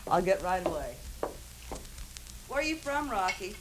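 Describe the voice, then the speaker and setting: A woman's voice: mid-range voice, very animated, measured pace. A woman playing a friendly, flirty, homesick American neighbour in a 1950s radio drama, talking in breezy, slangy, clipped phrases. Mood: breezy, inviting. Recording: 1950s radio recording, hissy background.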